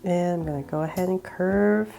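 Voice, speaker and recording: A woman with a cold guiding viewers step by step through a drawing, relaxed and laughing a little at her own slips. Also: fairly low pitch, normal speed, gravelly, faint music behind. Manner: relaxed, with small laughs and self-corrections. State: sick, voice a bit off. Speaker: drawing tutorial host.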